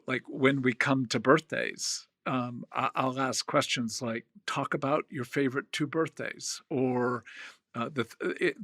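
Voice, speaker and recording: A man's voice: medium pitch, vocal fry, pushed and bright, no echo. Older male podcast host in his 60s, thoughtful storytelling tone, dry close studio sound. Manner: thoughtful, storytelling, hesitant restarts. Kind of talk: reflective podcast conversation.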